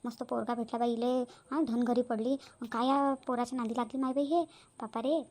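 A woman's voice: a little high, steady tone, darkish tone, lightly acted. Woman doing a gossiping village mother-in-law. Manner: fast scolding chatter. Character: scheming nosy middle-aged village mother-in-law. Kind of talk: cartoon voice acting.

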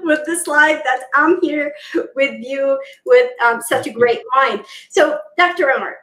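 A woman's voice: sits high, fairly bright tone, echoey room, low-quality recording. A young woman presenting an online interview in an enthusiastic, admiring way over a video call from a home room.

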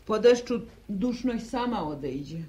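A woman's voice: medium pitch, expressive, warm tone, close to an everyday voice. An actress playing a tired, practical middle-aged farm mother who sighs and grumbles, in a vintage radio drama. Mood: weary. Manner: sighing, complaining, brisk. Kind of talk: 1950s radio drama acting.

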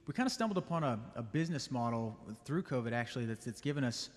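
Calm young man in a reverberant church. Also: medium pitch, quick pace. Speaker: guest, business owner and band leader. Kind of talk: interview answers. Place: church sanctuary. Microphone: stand mic. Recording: long reverb of a church hall.

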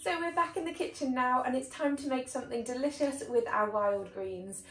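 A young woman from England, hosting a foraging and cooking video with bright, enthusiastic, gently playful how-to narration. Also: sits high, normal speed, soft background music. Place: woodland outdoors, then wooden cabin kitchen.

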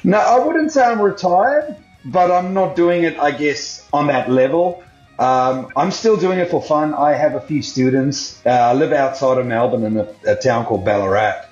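A man's voice: fairly high pitch, normal speed, soft background music. A man from South Africa talks easily about his life as a drummer, loose and full of fillers. His voice comes through a video call with a roomy echo and a dull, muffled sound. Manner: relaxed, rambling, many fillers. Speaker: guest drummer. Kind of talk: casual interview chat.

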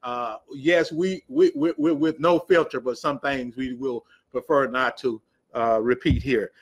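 A man's voice: medium pitch, steady tone, measured pace, breathy edge. A middle-aged man hosting a live call-in show with polite, formal phrasing.

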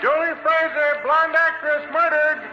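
An actor playing a shouting young street newspaper seller in an old-time radio drama. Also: high voice, flat delivery, unhurried. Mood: urgent, hawking. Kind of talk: 1940s radio drama acting. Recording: muffled echoey old radio recording, music.

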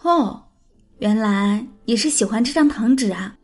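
A woman acting a spirited, impulsive young heroine in an expressive, girlish manner for a Chinese audiobook drama. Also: a little high, unhurried. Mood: playful.

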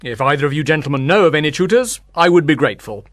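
A man's voice: a little high, expressive, fast, a bit bright, no echo, studio quality. A man playing a stubborn, authoritative father in acted audiobook dialogue. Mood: resolute. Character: firm, stubborn father of two daughters.

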